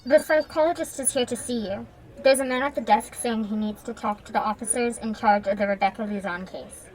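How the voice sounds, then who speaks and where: A woman's voice: fairly high pitch, brisk, darkish tone, natural voice, poor audio. A young woman playing a gossipy police station colleague in a radio drama. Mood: informing, gossipy. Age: young adult.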